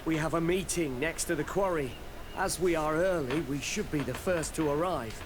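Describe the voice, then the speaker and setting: An actor playing a frightened, cowardly informant in a video game, pleading and deferential in manner. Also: male voice, on the high side, normal speed, background noise, close to an everyday voice. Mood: anxious.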